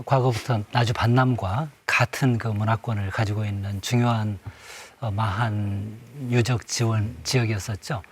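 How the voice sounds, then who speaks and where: A middle-aged man speaking as a guest on a studio panel, pausing often and filling gaps with short fillers. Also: medium pitch. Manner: hesitant, many pauses and fillers. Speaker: panel guest, former museum director.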